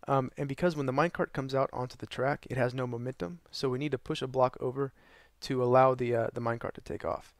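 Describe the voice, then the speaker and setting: A young man with a hoarse, worn-out voice talks through a gaming build in a loose, rambling way, full of ums and uhs. His tone has a gravelly fry to it, recorded close and dry with no room sound. He sounds short on sleep and low on energy. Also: steady tone, fast talker, few pauses, no echo. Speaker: gaming channel host. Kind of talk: casual tutorial narration over gameplay.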